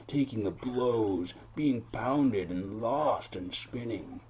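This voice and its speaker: A man's voice: on the low side, expressive, slow, darkish tone, slight background noise. A man playing a world-weary, brooding private detective in a noir audio drama, narrating in florid, rambling, repetitive phrases. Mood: anguished, musing.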